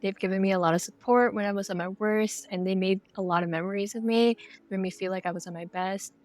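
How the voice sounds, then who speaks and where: A woman's voice: medium pitch, steady tone, fast, darkish tone. A woman answering earnestly and casually as a creator being interviewed. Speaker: game creator, interview guest.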